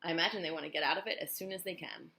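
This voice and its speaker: A woman voicing a thoughtful young boy in a storybook, speaking slowly and carefully. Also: deep voice, gravelly, sharp tone, exaggerated voice. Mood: calm. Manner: slow, thoughtful.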